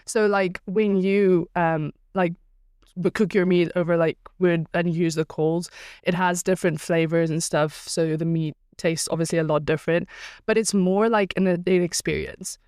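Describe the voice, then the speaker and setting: A woman's voice: on the low side, fairly even intonation, normal speed, a little mellow, clean tone, dry recording, clean studio sound. Afrikaans-speaking South African young woman. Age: young adult. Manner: hesitant, many ums and likes, laughs easily. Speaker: guest athlete. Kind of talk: casual podcast chat.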